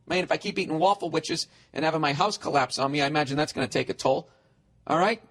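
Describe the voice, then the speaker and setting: 40s guy ranting on his podcast, high voice, swears nonstop, sarcastic. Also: fast, a bit bright. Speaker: podcast host. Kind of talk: solo comedic rant.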